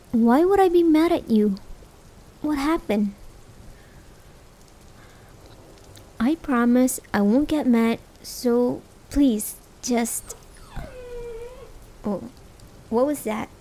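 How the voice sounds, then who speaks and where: A woman's voice: on the high side, warm tone. A woman acting a caring, worried, hesitant partner in a realistic slice-of-life ASMR roleplay. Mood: puzzled, anxious. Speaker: amateur voice actor. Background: rain sound effects.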